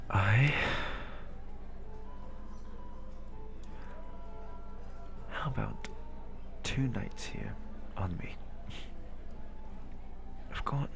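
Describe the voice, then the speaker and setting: A kind, tired young innkeeper from a fantasy roleplay, acted by a man, speaks very slowly in a fairly low, breathy voice. He is gentle and halting, often close to a whisper, with faint music behind him. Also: heavily acted. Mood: grateful, gentle. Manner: halting, hesitant, gentle, sentimental. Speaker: audio roleplay voice performer. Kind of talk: soft intimate fantasy audio roleplay.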